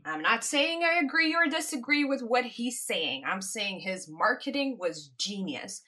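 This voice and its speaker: A woman's voice: a little high, lively intonation, measured pace, sharp tone. A woman from the Caribbean hosting a podcast, talking in a reflective, casual monologue and laughing lightly between her points. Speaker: podcast host.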